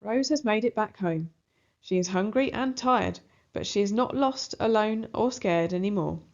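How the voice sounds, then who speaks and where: A woman's voice: medium pitch, normal speed, a little mellow. Woman reading a children's story, slightly muffled. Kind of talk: expressive storybook read-aloud. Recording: slightly dull, muffled recording.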